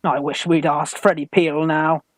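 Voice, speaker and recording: A man with a British accent playing an anxious young Victorian gentleman in a radio farce, worried and earnest. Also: on the high side, flat delivery, unhurried, no echo. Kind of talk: Victorian stage farce, radio play acting.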